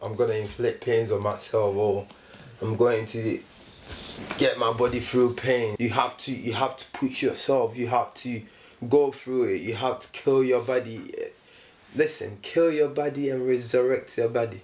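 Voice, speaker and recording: A man's voice: medium pitch, fairly even intonation, normal speed, a little mellow, slight background noise. A young man on a sofa indoors, co-hosting a casual motivational chat about the gym and repeating phrases for emphasis. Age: young adult. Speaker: co-host, fitness vlogger. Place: indoor room, seated on sofa. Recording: roomy echo.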